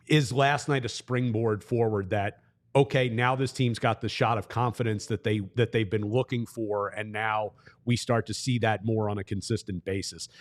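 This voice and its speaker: A man who works as a hockey broadcaster, chatting casually as a guest and thinking aloud as he talks. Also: on the low side. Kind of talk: casual sports talk interview.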